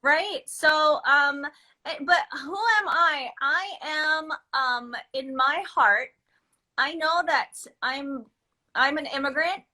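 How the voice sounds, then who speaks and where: A woman's voice: sits high, slow, sharp tone. A woman in her thirties from the Philippines, a beauty business owner chatting as a guest in an interview, laughing often and restarting her sentences. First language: Filipino. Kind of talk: casual interview chat.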